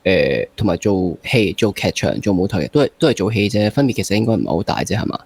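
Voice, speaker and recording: Male interview host, low voice, Hong Kong Cantonese accent, chatty, teasing banter. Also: animated, fast talker, breathy edge. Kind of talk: casual interview chat.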